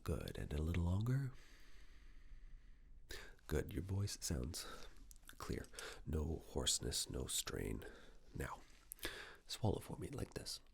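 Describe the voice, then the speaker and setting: A man speaking in a hushed ASMR medical roleplay style, giving gentle step-by-step instructions as a clinician. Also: very deep voice, wildly swooping pitch, slow pace, creaky, long pauses, slight background noise. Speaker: ASMR performer playing a clinician giving a cranial nerve exam. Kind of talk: ASMR medical roleplay, soft near-whisper.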